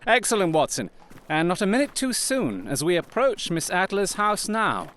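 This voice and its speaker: A man playing a shrewd, commanding gentleman detective in an audio drama, speaking formally and imperiously. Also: sits high, sing-song, moderate pace, slight background noise. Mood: brisk, assured.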